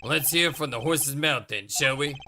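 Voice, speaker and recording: A man voicing a stern older male judge in a courtroom game, speaking in a formal, measured way. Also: medium pitch, bright, forceful tone, slight background noise.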